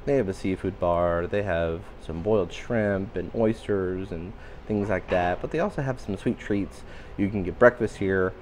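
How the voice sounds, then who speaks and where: A man giving an upbeat walking tour of a cruise ship, his voice a little raspy today. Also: low voice, normal speed, a little mellow, slight background noise. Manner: upbeat, enthusiastic guiding. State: slightly raspy voice. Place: indoor public areas of a cruise ship.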